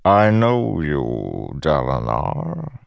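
A man voicing an ancient malevolent god in a fantasy audiobook, smiling, paternal and patronizing, with unhurried theatrical delivery. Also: a bass voice, huge pitch swings, creaky, dry recording, exaggerated voice. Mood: wounded mockery.

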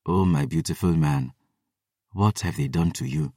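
A man voicing a tender, grieving warrior in an audiobook, soft and pleading in a near whisper. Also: a bass voice, extremely slow, clean studio sound, voice pushed down, heavily acted. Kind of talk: dramatic audiobook fantasy dialogue. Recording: roomy echo, distant from mic.

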